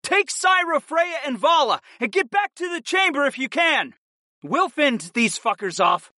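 Heroic fantasy fighter, acted by a male narrator: commanding, protective, loud high-pitched shouts, very dry audiobook sound. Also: big pitch swings, a little slow, no echo, exaggerated voice. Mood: urgent, commanding. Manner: commanding shouts over a crowd. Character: heroic shapeshifter warrior husband and father, commanding, protective. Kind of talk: dramatic audiobook character acting.

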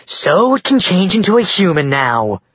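A woman's voice: rumbling low, animated, moderate pace, fairly bright tone, breathy edge, dry recording, muffled recording, exaggerated voice. A man voicing a cruel, haughty woman who talks with sarcastic contempt, in an audiobook reading. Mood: cold contempt. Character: cruel haughty tiger-shifter mother, shrill and sneering.

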